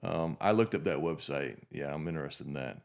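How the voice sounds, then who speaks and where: A man in his fifties hosts a laid-back podcast chat. His voice sits low, and he rambles and restarts often as he talks. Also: brisk, gravelly. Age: 50s. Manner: relaxed, rambling with frequent restarts. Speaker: podcast host. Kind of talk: casual interview chat.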